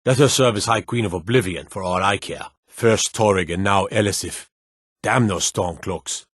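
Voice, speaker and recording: A gruff fantasy town guard from a video game, with a low, husky voice recorded very dry. He is suspicious and curt, with a wry, world-weary edge to his remarks. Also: moderate pace, no echo. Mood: bitter. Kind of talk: video game character voice lines.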